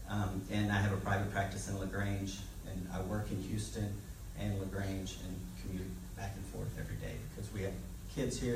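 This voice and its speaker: A man's voice: on the low side, recorded from a distance. Middle aged guy doing candidate intro speech, plain talk w ums, echoey room, noisy recording. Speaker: political candidate. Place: public meeting hall, indoors.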